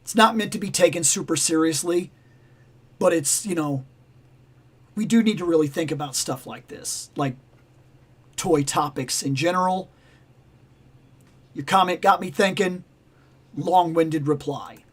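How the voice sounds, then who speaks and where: Raspy high-voiced man chatting on a livestream. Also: measured pace, long pauses. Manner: rambling, animated, self-interrupting. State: raspy, losing his voice. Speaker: toy channel livestream host. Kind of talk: casual livestream chat.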